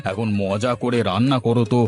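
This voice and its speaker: A man's voice: mid-range voice, lightly acted. A man voicing a greedy, pompous middle-aged village landlord in a cartoon. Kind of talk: cartoon voice acting.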